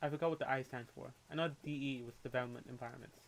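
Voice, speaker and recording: A man's voice: medium pitch, steady tone, vocal fry, slight background noise. A young man with a Puerto Rican accent, chatting and ranting on a livestream in a candid, opinionated way. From Puerto Rico. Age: young adult. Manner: candid, opinionated, quick to rant, with casual swearing. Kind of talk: casual livestream chat and rant.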